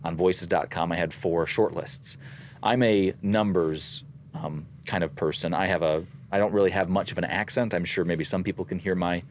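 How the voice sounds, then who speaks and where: Low-voiced man with a slight Midwestern accent. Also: fairly even intonation, moderate pace, a little hiss or hum. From American Midwest. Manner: methodical, reads out numbers. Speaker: voice actor and co-host. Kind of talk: casual business chat.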